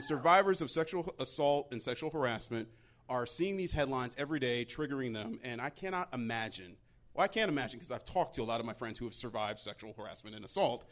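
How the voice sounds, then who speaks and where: A man giving emphatic conversational commentary on a panel, with an incredulous edge. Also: on the high side. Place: live stage show.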